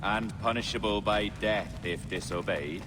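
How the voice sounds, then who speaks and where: An actor playing a cryptic, cultured pirate captain. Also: male voice, lowish voice, noisy background, natural voice. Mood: measured. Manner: measured, cultured, quietly cryptic. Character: enigmatic male pirate captain, calm and cunning. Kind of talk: video game cutscene acting.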